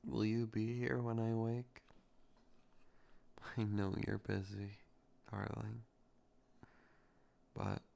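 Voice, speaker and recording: A man speaks softly into a close mic in an intimate, teasing way that turns possessive at times. His voice sits fairly low and is thick with gravelly vocal fry. He takes his time, dragging out every phrase. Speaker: ASMR roleplay creator. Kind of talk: romantic ASMR roleplay addressing the listener.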